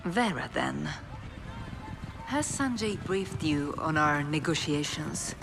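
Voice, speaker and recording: A proud, imperious female crime boss in a game cinematic. Her voice is notably low, her delivery formal and cold with an edge of threat. Music runs behind her. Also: expressive, slow pace, gravelly, exaggerated voice. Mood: cool politeness. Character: proud, ruthless, commanding woman crime boss. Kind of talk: video game cinematic voice acting.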